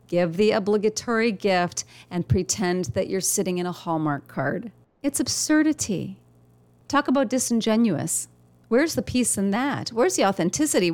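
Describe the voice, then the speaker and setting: A woman hosting her own podcast, speaking in an earnest, encouraging solo monologue, her voice a little weak and nasal. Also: mid-range voice. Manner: earnest, warm encouragement with repeated phrases. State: slightly weak, nasal voice from illness. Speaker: podcast host.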